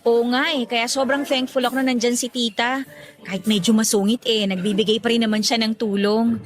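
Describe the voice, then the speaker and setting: A woman's voice: a little high, fairly even intonation, fairly quick, close to an everyday voice. A woman acting in a radio drama as a burdened young working woman, talking in an earnest, conversational way. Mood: grateful.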